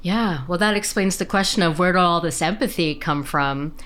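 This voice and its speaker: A woman in her forties hosting a podcast, chatty and expressive, sometimes laughing as she asks questions. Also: fairly low pitch, moderate pace. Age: 40s. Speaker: podcast host. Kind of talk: casual podcast interview.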